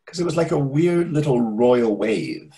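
A man's voice: medium pitch, lively intonation, measured pace, dark tone, breathy edge. A man playing a vain, catty, sarcastic waiter of about forty in a readers theatre comedy over a video call. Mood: mocking.

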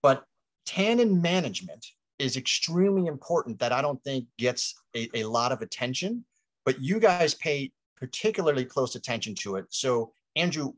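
A man in his fifties leads a virtual wine tasting over a webcam, gesturing as he explains. His voice sits at an ordinary middle pitch. The sound is close and dry, with little room. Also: no echo. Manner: energetic, gestures with hands while explaining. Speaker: host. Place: outdoors by a vineyard. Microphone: video call webcam.

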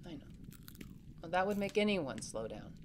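Woman playing young boy, caring big brother, slightly low voice, earnest, responsible, family audio drama, faint background noise. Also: big pitch swings, moderate pace, darkish tone. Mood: gently probing.

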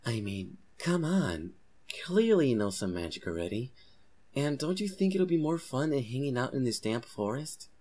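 A woman voicing an eager young boy in an earnest, expressive way for a comic fan dub. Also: medium pitch, normal speed. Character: young boy who befriends a forest girl, eager, defiant.

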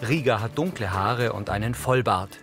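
A man narrating scenes of a TV drama in a measured, matter-of-fact way, as audio description. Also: fairly low pitch, music in the background.

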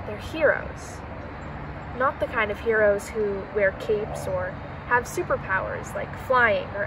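A young woman reads a children's picture book aloud with a fairly high voice. She is outdoors, some way from the microphone, and clear background noise sits behind her. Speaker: reader. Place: outdoors in autumn, seated by shrubs. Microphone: camera several meters away. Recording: outdoor, distant mic, noisy background.

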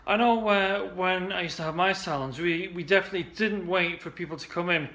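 A man in his forties coaches salon owners straight into a desk webcam. His voice sits high and bright, with a chatty, upbeat flow full of restarts and "uh"s. It sounds like an indoor office recording. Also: a little fast. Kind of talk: casual direct-to-camera pitch.

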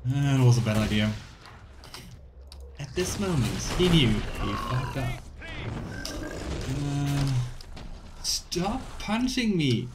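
A man's voice: mid-range voice, background noise. A man in his thirties giving casual, chatty let's play commentary with a wry, mock-complaining manner. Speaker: game streamer. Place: small room indoors. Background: game music.

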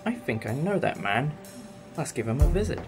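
A man's voice: a little high, big pitch swings, moderate pace. A British man playing an eccentric, enthusiastic alien time traveller in a fan audio drama, musing like a professor. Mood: friendly. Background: music.

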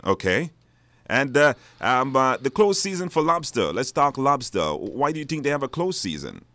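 A man's voice: mid-range voice, big pitch swings, normal speed, pushed and bright, dry recording. A man with a Belizean accent hosting a live radio call-in show, putting questions to callers. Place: radio studio.

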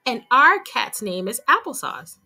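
A woman's voice: fairly high pitch, very animated, a little slow, bright, forceful tone, soft background music, exaggerated voice. A woman voicing a cheerful, playful young girl in a storybook, eager and bubbly.

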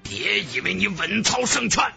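A man's voice: high voice, sing-song, a little slow, gravelly, bright, forceful tone, soft background music, heavily acted. A man voicing a menacing, arrogant male villain in a fantasy audiobook, sneering and accusing. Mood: smug.

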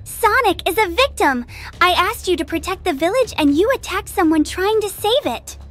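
Very high-pitched little rabbit girl. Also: expressive, moderate pace, fairly bright tone, faint music behind. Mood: earnest pleading. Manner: childlike, earnest pleading. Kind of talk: acted comic dub.

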